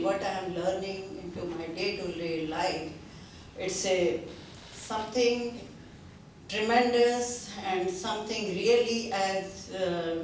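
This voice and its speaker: Slow, halting older woman in echoey hall. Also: mid-range voice, slight background noise. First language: Hindi. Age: 70s. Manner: hesitant, many pauses and fillers. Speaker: seminar speaker. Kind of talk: halting speech to a gathering. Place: seminar hall. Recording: very echoey hall, distant mic.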